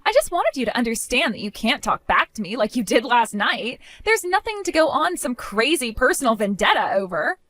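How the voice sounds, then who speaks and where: Woman doing a sneering, giggly future sister-in-law for an audio drama; bright, bouncy, dry close sound. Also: a little high, sing-song, a little fast, hardly any pauses, dry recording. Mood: defensive. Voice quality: giggly, taunting. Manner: sing-song, dismissive, turns pleading.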